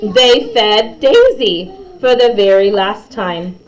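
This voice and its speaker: Slow-paced woman reading a children's story. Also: mid-range voice, poor audio. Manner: chatty asides to listeners, expressive character quotes. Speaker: storyteller reading a picture book aloud. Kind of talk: animated bedtime story read-aloud to children.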